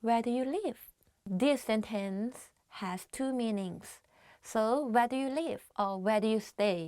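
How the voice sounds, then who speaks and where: A woman's voice: on the high side, moderate pace, a little mellow, no echo, studio quality. A woman with a Thai accent, teaching beginner phrases in a chatty way, often saying "like" and laughing. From Thailand. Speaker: language teacher. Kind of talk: casual language lesson.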